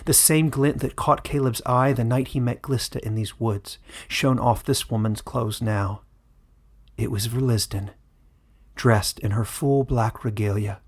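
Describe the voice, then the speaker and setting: A man's voice: medium pitch, fairly even intonation. Man narrating a dramatic fantasy tale. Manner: measured, literary storytelling. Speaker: author and narrator. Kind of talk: dramatic scripted story narration.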